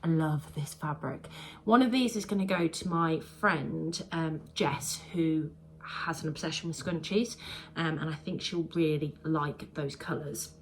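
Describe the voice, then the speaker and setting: A rambling woman talks through her sewing makes in a casual home vlog, her voice a touch low and stuffy from congestion. She drifts from point to point with plenty of "um"s. Faint room ambience sits behind her. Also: a little slow, a little hiss or hum. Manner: chatty, rambling, with frequent "um"s. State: congested, a bit bunged up. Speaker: sewing vlogger. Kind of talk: casual chat to camera.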